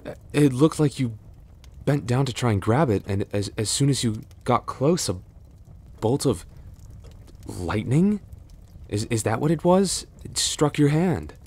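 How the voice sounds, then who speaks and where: A man playing a cursed, lovelorn young prince in a roleplay audio drama, halting and formal in his speech. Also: medium pitch, expressive, darkish tone. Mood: startled, puzzled. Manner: halting, breathy sighs and gasps, formal archaic phrasing.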